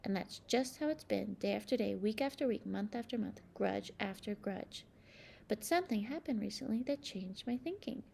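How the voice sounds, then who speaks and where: A woman reading a children's storybook aloud as narrator, with expressive, dramatic emphasis. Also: mid-range voice, moderate pace, creaky, slight background noise. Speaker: storybook reader.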